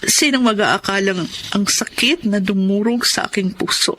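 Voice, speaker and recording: A woman acting in a Filipino radio drama as a forgiving, emotional elder sister and grieving wife, speaking earnestly and deliberately. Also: medium pitch, a bit bright, natural voice, low-quality recording. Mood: tearful, reflective.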